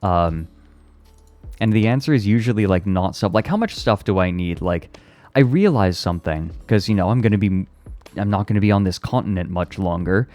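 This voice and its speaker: A man's voice: deep voice, expressive, normal speed, a little mellow. A young man chatting casually on a stream, often stammering and repeating his words. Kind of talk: casual chat.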